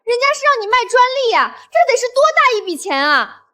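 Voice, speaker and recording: An actress playing an outspoken, practical young sister-in-law from the city, with an expressive, persuasive manner. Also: female voice, high-pitched, quick pace, no room sound. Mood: eager, persuading. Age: young adult. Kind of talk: scripted drama acting.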